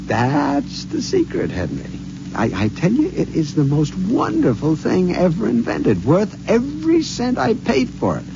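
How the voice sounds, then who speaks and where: Henpecked husband, middle-aged, giddy and secretive, stammers; lively pitch, vintage radio drama. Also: male voice, measured pace, gravelly, exaggerated voice. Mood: gleeful. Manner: stammering, confiding, gleeful. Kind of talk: 1950s radio acting. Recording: dull 1950s radio recording, faint hiss.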